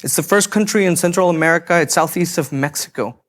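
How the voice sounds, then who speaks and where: A man from Guatemala in his thirties giving an earnest, measured keynote talk on a conference stage. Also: a little high, fairly bright tone. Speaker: keynote speaker. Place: conference stage before an audience.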